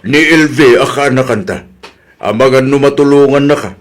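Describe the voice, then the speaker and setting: A man playing a puzzled, comically slow middle-aged village husband in a radio drama, with exaggerated delivery. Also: mid-range voice, close to an everyday voice. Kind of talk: Ilocano comedy radio drama acting.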